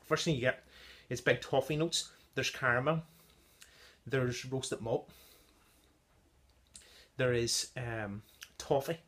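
Man in his thirties, casual home-brew vlog, halting with ums, small indoor room, close camera mic. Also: mid-range voice, a bit bright. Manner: chatty, halting with many ums, tasting notes. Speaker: home-brew beer reviewer. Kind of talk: casual beer review vlog. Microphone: device camera at close range.

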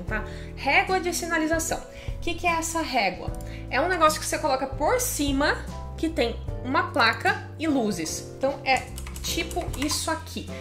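A Brazilian woman in her thirties speaks in a high, bright register, explaining step by step in a chatty, friendly way and checking in with the listener often. She is seated at her home-office desk. Clear music plays underneath her voice. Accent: Brazilian Portuguese. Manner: chatty, explanatory, frequent "né?" and "tá?" tags. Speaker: channel host. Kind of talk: casual explainer to camera.

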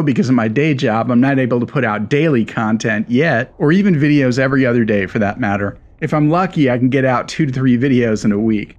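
Slightly raspy, tired man talking games. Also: mid-range voice. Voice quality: slightly raspy from lack of sleep. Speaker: gaming YouTuber. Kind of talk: lore analysis voice-over.

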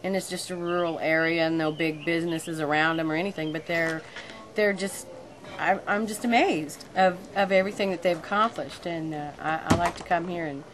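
A woman's voice: fairly low pitch, steady tone, brisk. A middle-aged woman giving a casual, unscripted visitor testimonial outdoors on a camera mic. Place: outdoors beside a brick building. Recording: noisy outdoor camcorder sound.

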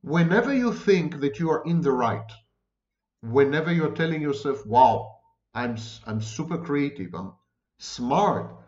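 A man's voice: fairly high pitch, lively intonation, darkish tone. Emphatic man in a roomy room. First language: Hebrew. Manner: deliberate, emphatic, gesturing, lists many near-synonyms. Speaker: lecturer on psychology. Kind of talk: lecture-style monologue to camera. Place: home room indoors. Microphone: webcam at desk. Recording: roomy, dull webcam audio.

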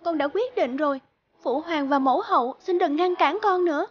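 Lady doing cartoon princess, super high voice, brave n bossy, bit muffled. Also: moderate pace, slight background noise, natural voice. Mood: earnest, resolute. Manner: earnest, formal. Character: brave, dutiful young princess, resolute and commanding. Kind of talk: cartoon folk tale dialogue.

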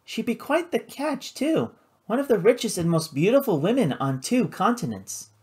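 A woman's voice: mid-range voice, sing-song, moderate pace, a little mellow, slightly rough, pitched above the performer's own voice. A woman voicing a playful, defiant young socialite sister in theatrical audiobook dialogue. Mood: conspiratorial. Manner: teasing, theatrical.

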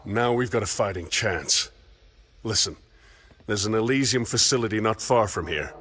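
An actor playing a gruff, sardonic marine with a curt manner in a video game. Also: male voice, on the low side, moderate pace, a bit bright. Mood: guarded, determined. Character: gruff, sardonic male marine in combat suit.

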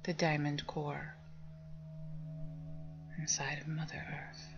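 A woman leading a guided meditation with soft, breathy, near-whispered, calming instructions. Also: low-pitched, slow. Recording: dull lo-fi sound under music. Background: meditation music with singing bowls.

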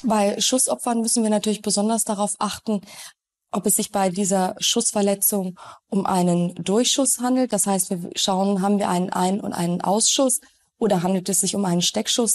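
A woman with a mid-pitched voice explains procedure as a forensic expert. She is methodical and precise, as in a documentary interview. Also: darkish tone.

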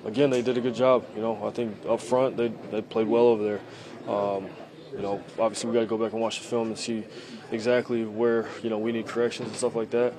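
Low, flat-voiced young man, halting. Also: a crowd in the background. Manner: halting, repeats phrases, many fillers, subdued. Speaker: quarterback at a postgame press conference. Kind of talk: postgame press answers. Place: locker room. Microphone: handheld reporter mics at close range.

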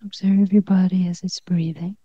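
A woman leading a guided meditation in a gentle near-whisper, with short phrases and long pauses. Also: on the low side, flat delivery, no echo, muffled recording.

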